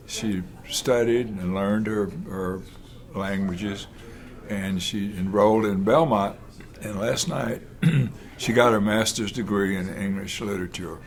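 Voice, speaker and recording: An older man giving a casual family speech to the camera, earnest, halting and rambling. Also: on the low side. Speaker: lawyer, host of the video.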